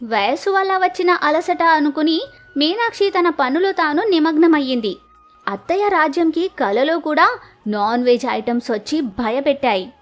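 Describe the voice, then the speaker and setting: A woman's voice: high-pitched, animated, normal speed. A woman storyteller narrating a scripted village moral story. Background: music.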